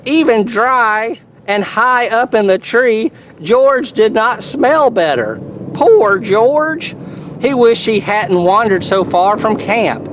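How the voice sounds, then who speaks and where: Children's storybook reader, lively swooping pitch, slow delivery, chatty asides and exclamations. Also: slight background noise, rough recording. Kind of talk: children's book reading with playful asides.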